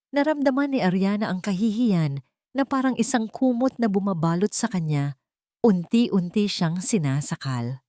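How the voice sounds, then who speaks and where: A woman's voice: on the low side, darkish tone, dry recording, studio quality. A woman reading a dramatic romance story as a scripted narrator.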